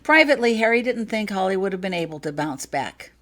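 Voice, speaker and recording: A woman's voice: medium pitch, expressive, fairly quick, fairly bright tone. A woman reading a story aloud as a scripted audiobook narration. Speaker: author reading own fiction.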